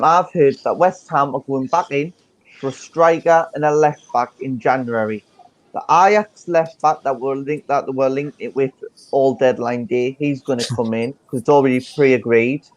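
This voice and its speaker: A man's voice: mid-range voice, normal speed, no echo, rough recording. A man in a casual football chat, blunt and insistent, repeating his points.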